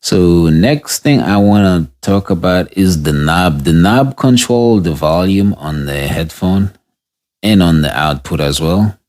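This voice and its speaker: Man, deep voice, very low pitch, slow unhurried delivery, casual gadget review, halting with restarts. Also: darkish tone. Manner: unscripted, halting, restarts mid-sentence while testing gear. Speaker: product reviewer.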